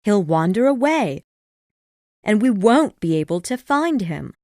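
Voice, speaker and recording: A man's voice: high-pitched, big pitch swings, measured pace, a little mellow, no echo, clean studio sound, pitched above the performer's own voice, full character voice. A man reading a story aloud voices an anxious, urgent old mother. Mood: worried.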